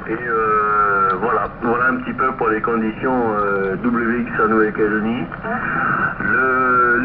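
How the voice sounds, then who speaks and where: A man working as an amateur radio operator, giving an unscripted contact report over the radio in a hesitant, repetitive way. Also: mid-range voice. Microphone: radio microphone. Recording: very noisy, phone-like radio transmission.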